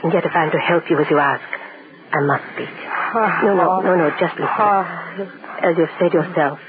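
An actor playing an anguished, solemn doctor in a 1930s radio play, speaking haltingly and melodramatically. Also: male voice, a little high, fairly even intonation, natural voice. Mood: solemn, urgent. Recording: scratchy, narrow-band 1930s radio recording.

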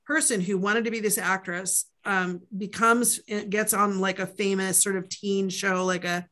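A woman's voice: medium pitch, steady tone, unhurried, fairly bright tone. A woman author chatting casually in a podcast interview, rambling with fillers and asides. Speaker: author guest.

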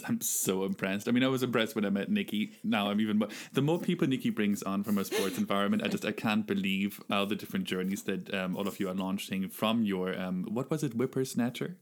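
A man's voice: on the low side, brisk, hardly any pauses. Enthusiastic man hosting a podcast. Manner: enthusiastic, effusive. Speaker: podcast host. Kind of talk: casual interview chat.

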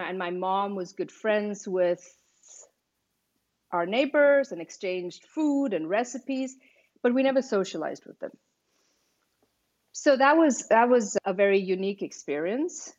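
A woman who grew up in Kuwait, telling her story as a podcast guest in a thoughtful, measured way. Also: medium pitch, a little mellow, muffled recording. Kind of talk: casual podcast interview.

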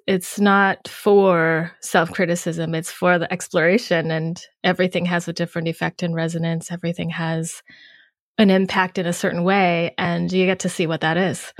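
Softly affirming woman podcast host. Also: lowish voice, little pitch movement, normal speed, no echo, clean studio sound. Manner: warmly affirming, soft back-channel murmurs. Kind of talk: relaxed spiritual conversation.

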